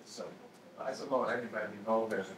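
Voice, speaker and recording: A man's voice: lowish voice, fairly even intonation, brisk. Brief: man, audience commenter, faltering delivery, faint hiss behind. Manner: hesitant, many filler sounds. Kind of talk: academic Q&A comment. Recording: echoey room, distant mic, faint noise.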